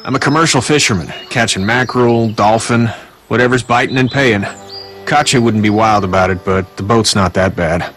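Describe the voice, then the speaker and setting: Low-voiced brash fisherman played by an actor. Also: moderate pace, a bit bright, faint music behind, close to an everyday voice. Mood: casual, confident. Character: tough, brash family man, commercial fisherman. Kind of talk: video game voice acting.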